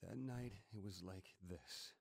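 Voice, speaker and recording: A man's voice: deep voice, unhurried, gravelly, husky, no room sound, studio quality, exaggerated voice. Troubled, weary American man. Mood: brooding. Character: troubled, weary man drinking in a bar, easily rattled. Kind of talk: animated video game cutscene acting. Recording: game music behind the voice.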